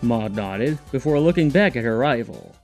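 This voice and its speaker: A man serves as narrator, reading a scripted story. His voice sits at an average pitch, with faint music underneath. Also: lively intonation, normal speed, darkish tone.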